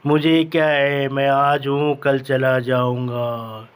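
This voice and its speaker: A man's voice: medium pitch, level tone, slow, clean tone, natural voice. A man playing a worried, fatalistic older village uncle in a cartoon horror story, fretful and fatherly. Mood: anxious. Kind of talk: animated horror story dialogue.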